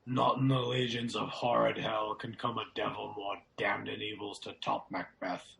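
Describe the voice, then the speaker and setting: Grave patriot lord, male actor: lowish, gravelly, solemn declamation, loyal and despairing, classical radio tragedy. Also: flat delivery, normal speed, sharp tone, exaggerated voice, poor audio. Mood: grave, reasoning. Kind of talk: Shakespearean radio drama acting. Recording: clearly audible background noise.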